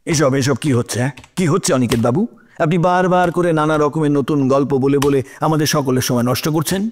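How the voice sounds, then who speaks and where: A man's voice: on the high side, fast, slight background noise, natural voice. A man playing an impatient, indignant prosecuting lawyer in an audio drama, sarcastic and hectoring. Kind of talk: audio drama courtroom acting.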